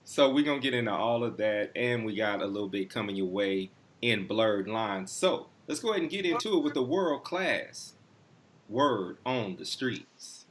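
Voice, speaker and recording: A man hosts a loose, slangy chat show and moves the conversation along. His pitch rises and falls freely as he talks. A steady hiss of noise sits under the recording. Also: moderate pace. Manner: lively, laid-back slang, steers the topics. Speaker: host. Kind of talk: casual podcast chat.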